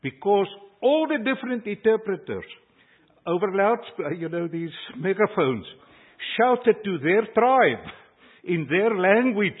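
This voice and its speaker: A man's voice: high-pitched, breathy edge, phone-quality sound. An older South African man whose first language is Afrikaans, a pastor preaching an earnest, emphatic sermon that rises on key phrases. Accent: South African English.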